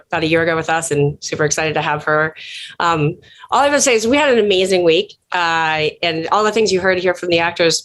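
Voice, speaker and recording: Effusive woman, slightly low voice. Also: fairly bright tone. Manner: effusive, encouraging. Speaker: organization leader hosting an online event. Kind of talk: informal thank-you remarks. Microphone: online video call.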